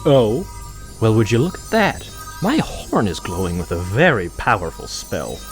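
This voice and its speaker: Low swooping voice, man acting vengeful unicorn guard, bitter, haughty, cartoon audio drama villain. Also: huge pitch swings, measured pace, full character voice. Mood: wary, threatening. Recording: music playing behind.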